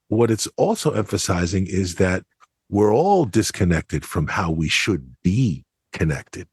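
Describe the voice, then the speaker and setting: A man co-hosting a podcast, giving conversational analysis in a measured, explanatory way. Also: low voice, breathy edge, no echo, studio quality. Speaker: podcast co-host.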